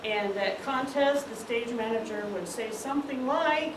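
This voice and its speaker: A woman's voice: on the high side, unhurried, recorded from a distance. A woman, a school play director, giving an encouraging, informal introduction before a show. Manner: encouraging, enthusiastic. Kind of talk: informal pre-show speech to an audience. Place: large room, distant mic. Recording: roomy, noisy recording from afar.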